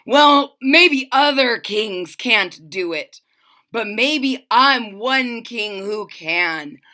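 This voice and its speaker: A woman's voice: a little high, animated, drawn-out words, sharp tone, heavily acted. A woman voicing a grumpy, proud old king in a storybook, growling and imperious. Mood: defiant rage.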